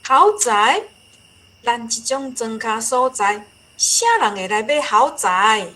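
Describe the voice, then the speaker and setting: Proud country granny with a Taiwanese accent. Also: female voice, a little high, slow pace, sharp tone. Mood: incredulous. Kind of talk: Taiwanese radio drama acting.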